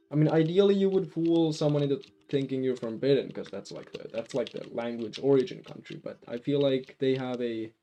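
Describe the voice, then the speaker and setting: Finnish man, Finnish accent, casual game stream chat, rambling, warm mellow voice, faint background music. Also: medium pitch. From Finland. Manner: rambling, lots of restarts and fillers. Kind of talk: casual live-stream chat while gaming.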